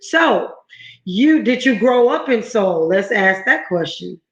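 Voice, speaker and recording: A woman's voice: on the high side, lively intonation, slow pace, a bit bright. Chatty woman host in an echoey room. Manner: chatty, enthusiastic, rambling asides. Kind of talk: interview show hosting. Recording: echoey room, dull lo-fi audio.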